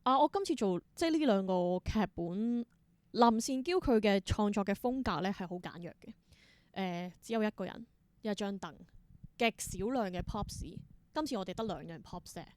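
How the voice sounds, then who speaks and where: Woman, Hong Kong Cantonese, warm mellow tone, dry close recording, reflective interview talk, English words mixed in. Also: medium pitch, lively intonation, normal speed, no echo. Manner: thoughtful, mixes in English words. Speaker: interview guest, theatre performer and creator.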